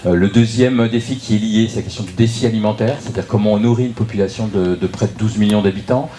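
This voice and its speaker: Low-voiced man, dark tone, halting public speaker. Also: a little hiss or hum. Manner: halting, hesitant, repeats words while searching for phrasing. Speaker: local political speaker introducing a debate. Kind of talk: explanatory public presentation, unscripted.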